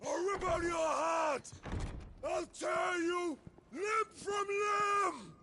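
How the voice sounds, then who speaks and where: A man playing an enraged, vengeful wounded warlord in a video game, with strained, gravelly shouting and explosive bitterness. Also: very high voice, extremely slow, very noisy, heavily acted. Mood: furious. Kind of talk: dramatic video game acting.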